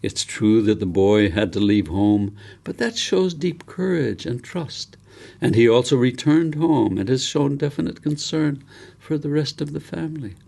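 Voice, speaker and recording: Man reading as a solemn, creaky ancient elder. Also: mid-range voice, expressive, a little slow, warm tone, pitched above the performer's own voice. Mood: hopeful, solemn. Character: most ancient village elder, solemn, hopeful, wise.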